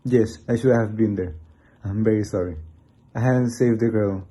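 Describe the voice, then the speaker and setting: A man's voice: lowish voice, steady tone, normal speed, warm tone, breathy edge. A man acting an earnest, remorseful monster hunter in an amateur radio drama. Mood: shaken, remorseful.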